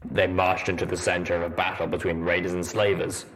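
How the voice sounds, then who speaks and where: A man voicing a stern, suspicious armored soldier pony with a deep rumbling voice and a wry, deadpan manner in a story reading. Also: fairly quick. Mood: stern, skeptical.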